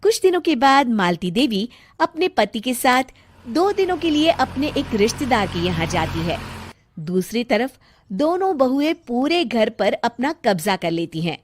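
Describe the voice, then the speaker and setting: A woman's voice: a little high, very animated, measured pace, slight background noise. A woman giving scripted narration for a cartoon story.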